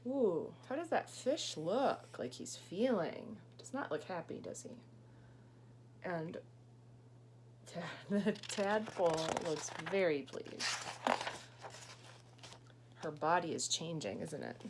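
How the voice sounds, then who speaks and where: Slow, expressive woman teacher reading aloud. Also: on the low side, slight background noise. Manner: explains words and prompts young listeners with questions. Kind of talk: expressive picture-book read-aloud with asides and questions.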